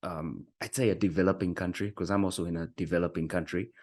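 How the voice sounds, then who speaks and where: A man's voice: low voice, steady tone, fast, darkish tone. A man hosting a marketing interview show, upbeat, hyping up his guest and often stumbling over his words. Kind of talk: casual interview chat.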